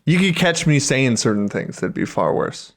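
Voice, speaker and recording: A man's voice: medium pitch, sing-song, normal speed. A man co-hosting a podcast in casual comedic chat, slangy and profane. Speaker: podcast co-host.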